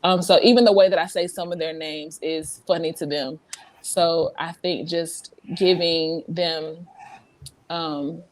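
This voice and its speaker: A woman's voice: sits low, steady tone, measured pace. A young woman from South Carolina with a light Southern accent, talking earnestly and reflectively as a podcast guest, with many restarts. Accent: Southern American. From South Carolina, USA. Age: young adult. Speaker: podcast guest, teacher abroad. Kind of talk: casual podcast conversation.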